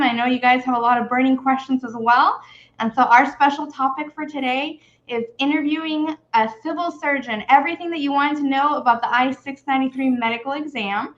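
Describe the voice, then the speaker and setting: A woman's voice: high-pitched, dull audio. A woman, an immigration attorney, hosting a video interview in a friendly way, with frequent fillers and laughs.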